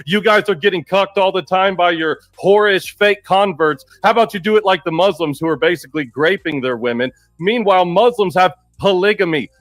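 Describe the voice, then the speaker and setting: A man's voice: a little high, fairly bright tone, no room sound. A man arguing in a heated live debate, combative and insistent, with crude language. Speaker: debate panelist.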